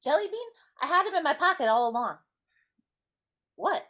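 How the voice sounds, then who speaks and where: Comic rat sidekick woman performer: whiny, cowardly, animated, for a live dramatic reading. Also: sits high, normal speed. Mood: nervous. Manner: whiny, excitable comic complaining. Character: timid, silly, jelly-bean-loving male rat sidekick.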